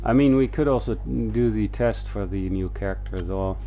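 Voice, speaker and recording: A man doing casual live gameplay commentary as a streamer, talking half to himself in a halting way. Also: on the low side, muffled recording. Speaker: game streamer. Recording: game music behind the voice.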